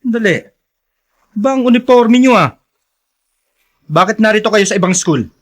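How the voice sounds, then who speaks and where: A man's voice: sits high, expressive, moderate pace. An actor playing a stern, bossy male village officer in a radio drama. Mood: stern, commanding.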